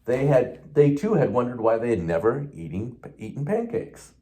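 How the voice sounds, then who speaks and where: A man reads a children's storybook aloud in a mellow, soft-edged voice. His intonation swings widely and expressively through the tale. He occasionally stumbles over a word and carries on. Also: medium pitch, huge pitch swings, normal speed. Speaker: police officer reading a children's book.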